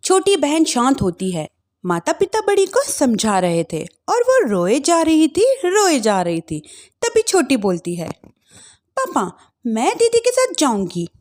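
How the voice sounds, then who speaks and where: A woman narrator reads a scripted bedtime story. Her pitch is high and lively, and her pace is slow, as she sets each scene of a household drama.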